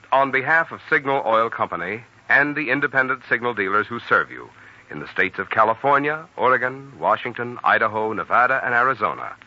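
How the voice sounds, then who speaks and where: A man's voice: fairly low pitch, bright, forceful tone. A man announcing formally and sincerely as a sponsor's spokesman in 1940s radio style, on a muffled old recording.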